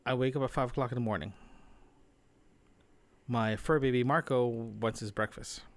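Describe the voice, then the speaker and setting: A man's voice: mid-range voice, fairly even intonation, normal speed. A man speaking in a casual audio-diary monologue as a solo podcaster, rambling and often rephrasing himself. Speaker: solo podcaster, remote-working designer.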